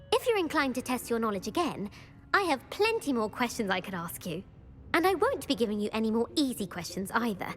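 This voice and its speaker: A woman playing an eager, bookish schoolgirl quizmaster in a video game, precise and enthusiastic. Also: fairly high pitch. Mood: matter-of-fact. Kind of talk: video game character acting.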